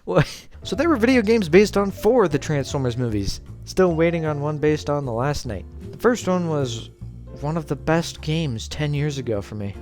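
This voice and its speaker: A man's voice: on the high side, animated, normal speed, darkish tone. A man giving casual scripted commentary in a sarcastic, informal manner. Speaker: host of a video essay series. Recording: background music under voice. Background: music.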